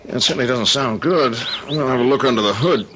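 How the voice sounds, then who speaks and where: An actor playing a stubborn, restless American husband in an old radio drama, blunt and colloquial, with an American accent. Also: male voice, mid-range voice, quick pace, muffled recording, noisy background, close to an everyday voice. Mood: matter-of-fact.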